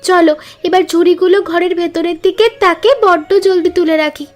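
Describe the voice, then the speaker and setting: A woman's voice: very high voice, flat delivery, fairly quick, faint music behind. A woman voicing an eager, optimistic young village daughter in a cartoon, enthusiastic and reassuring. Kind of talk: cartoon story dialogue.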